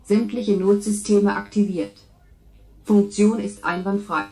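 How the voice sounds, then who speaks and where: A woman's voice: medium pitch, fairly even intonation, a little slow, dark tone, lightly acted. A woman playing an impersonal central surveillance computer in a science fiction radio play, giving formal, clipped status announcements.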